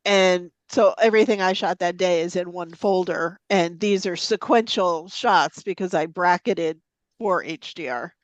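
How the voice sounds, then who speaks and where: Woman walking thru photo software, bit of a cold, lots of ums, dry close sound. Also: lowish voice, a little slow, no echo. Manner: chatty, laughs easily, lots of ums. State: has a slight cold, sniffling. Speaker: presenter teaching photo editing software. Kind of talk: live software demonstration. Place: meeting room or online forum.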